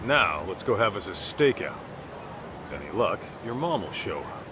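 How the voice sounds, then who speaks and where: An actor playing a brash middle-aged detective in a video game dub, with an American accent and blunt, slangy delivery. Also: male voice, sits low, big pitch swings, normal speed, very noisy. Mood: brisk, easygoing. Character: brash, street-tough middle-aged male detective, gruffly fatherly. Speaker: private detective.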